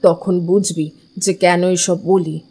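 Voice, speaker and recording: A woman's voice: fairly low pitch, flat delivery, slow pace, warm tone, slightly rough, full character voice. A woman playing an anxious, protective widowed village mother in an audio drama, pleading and worried. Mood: worried, tender.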